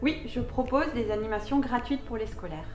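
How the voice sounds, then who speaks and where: A woman with a fairly high voice presents her work as a visitor centre guide. Her scripted lines sit over faint music. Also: animated, moderate pace.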